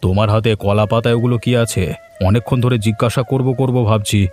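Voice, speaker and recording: A man voicing a kindly village headman in a cartoon, calm, fatherly and reassuring. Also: medium pitch, fast, faint music behind, close to an everyday voice. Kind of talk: cartoon voice acting.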